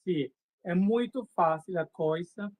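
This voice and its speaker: A man with an Italian accent, a pageant organizer speaking halting, simple Portuguese over a video call. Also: high-pitched, darkish tone, no echo. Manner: halting, simple phrasing, many pauses.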